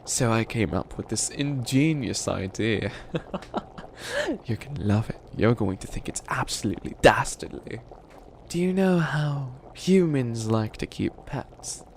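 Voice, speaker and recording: A dramatic, would-be-evil witch voiced by a man schemes with theatrical glee in a comedic ASMR roleplay. The voice is gravelly with plenty of fry and rambles along, over faint background noise. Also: mid-range voice, big pitch swings, a little slow. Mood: gleeful scheming. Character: bumbling, dramatic bunny witch who thinks himself evil.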